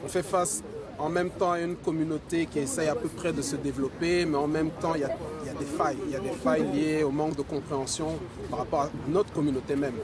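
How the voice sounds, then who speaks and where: A man giving a reflective interview answer as an activist, measured and articulate, listing his points outdoors. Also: a little high, steady tone. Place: outdoors in a city park. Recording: outdoor, very noisy urban crowd.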